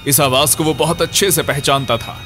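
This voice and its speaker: A man's voice: fairly high pitch, moderate pace, bright, forceful tone. A man narrating a scripted horror audio story in a dramatic storyteller's manner. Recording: music under the voice. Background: background music.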